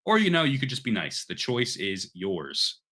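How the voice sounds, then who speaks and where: Male podcast host, bright forward voice, eager and informal, sweary casual gaming chat. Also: mid-range voice, wildly swooping pitch, moderate pace.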